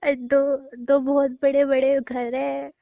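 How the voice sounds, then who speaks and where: High-voiced playful young woman on phone. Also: flat delivery, takes it slowly, gravelly. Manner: playful, bantering. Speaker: girlfriend on a phone call. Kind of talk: casual phone chat. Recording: dull lo-fi call recording.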